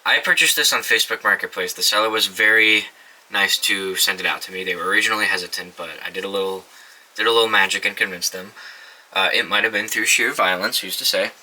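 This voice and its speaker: A man's voice: on the low side, fast talker, pushed and bright, rough recording. A young man casually reviewing his collection of weather radios, offhand and swearing now and then, with many ums and restarts. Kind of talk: casual show-and-tell review.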